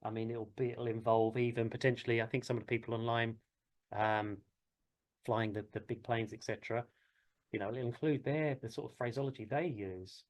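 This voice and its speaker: A man's voice: mid-range voice, steady tone, brisk. British man, informal flying instructor. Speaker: helicopter flying instructor and session host. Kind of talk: informal classroom lecture.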